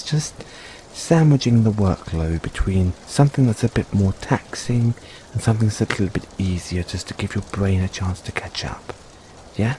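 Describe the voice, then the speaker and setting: A man playing a caring, gently teasing boyfriend in a soft-spoken, playful comfort roleplay for an overworked partner. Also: low voice, slight background noise, close to an everyday voice, rough recording. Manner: soft-spoken, playful, coaxing, chuckles often.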